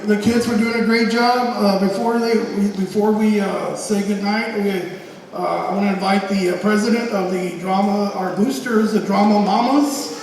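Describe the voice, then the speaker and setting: Halting man presenting awards in an echoing hall. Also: high-pitched, steady tone, darkish tone. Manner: halting, hesitant. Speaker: drama director presenting awards. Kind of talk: informal awards announcement. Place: school theater auditorium with audience. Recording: echoey theater hall, distant mic, crowd noise.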